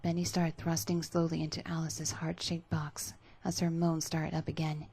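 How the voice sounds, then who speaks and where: A woman's voice: low voice, little pitch movement, moderate pace, dark tone, breathy edge. A woman narrating scripted fan fiction as a dramatic reading.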